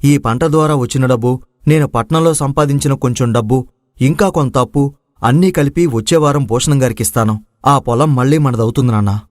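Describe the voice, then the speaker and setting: A man's voice: mid-range voice, brisk, darkish tone, no room sound, clean studio sound, close to an everyday voice. A man playing an earnest, respectful, devoted young son who farms, in a dramatized moral story. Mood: heartfelt. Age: young adult.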